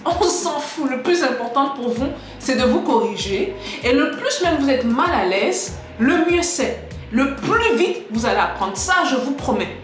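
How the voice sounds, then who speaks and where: A woman giving a casual spoken lesson to camera, lively and direct, talking straight to her viewers. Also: high-pitched, unhurried. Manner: lively, direct, addresses viewers as "les gars"; switches into English example sentences. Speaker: English teacher on a video channel. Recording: echoey room with faint music.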